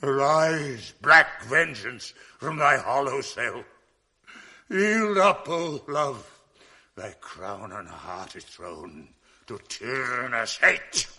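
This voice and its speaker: An actor playing a jealous, tormented general in a classic radio drama, swinging between roars and hushed whispers. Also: male voice, medium pitch, animated, takes it slowly, full character voice. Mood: vengeful. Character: jealous tormented general, man, volatile and commanding.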